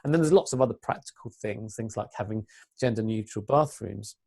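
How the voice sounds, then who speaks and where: A woman with a deep voice and an English accent, speaking earnestly as an interview guest, with frequent restarts and fillers. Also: brisk, creaky, darkish tone, breathy edge. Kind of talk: interview conversation.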